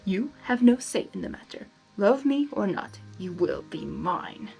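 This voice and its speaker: A woman's voice: mid-range voice, animated, unhurried, gravelly, darkish tone, soft background music, poor audio. A woman voicing a bitter, wounded male villain monster in an accusing, sarcastic, growling manner for a story reading. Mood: menacing.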